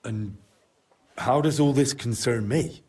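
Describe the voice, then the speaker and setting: A man's voice: medium pitch, slow pace, a little mellow, breathy edge. An actor playing a dutiful, dry young medieval man-at-arms in a video game, direct and courteous. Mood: puzzled, dry. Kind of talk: video game cutscene acting.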